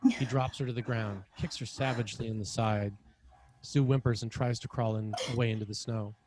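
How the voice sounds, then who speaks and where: A man's voice: medium pitch, steady tone, brisk. A man reading screenplay action lines as narrator at a table read. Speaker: screenwriter narrating stage directions.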